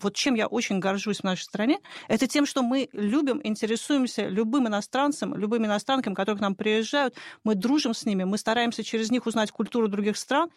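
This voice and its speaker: A Russian woman talking quickly and emphatically in a studio discussion, with a slightly raised pitch. Her voice is close to the mic in a dry, dead room. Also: no room sound. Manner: fast, emphatic, opinionated.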